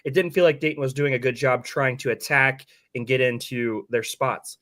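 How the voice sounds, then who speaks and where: Male sports podcast host, hoarse, medium pitch, casual and candid game-breakdown talk. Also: steady tone, moderate pace, fairly bright tone.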